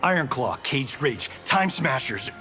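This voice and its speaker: A man's voice: medium pitch, sing-song, unhurried, sharp tone, soft background music. A man playing a brash, slangy, wisecracking Hollywood action star in a video game. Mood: cocky, teasing.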